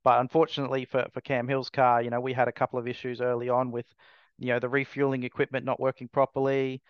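A man's voice: mid-range voice, level tone, fast, clean tone, dry recording, muffled recording. A man, answering interview questions as a racing team owner in a hesitant way, full of fillers and restarts.

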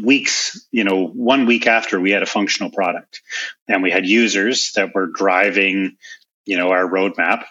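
A man's voice: low-pitched, pushed and bright, husky, highs cut off. A man with a Canadian accent answering interview questions as a company founder, explaining things informally through anecdotes in a conversational way. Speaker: interview guest, software company founder and chief executive.

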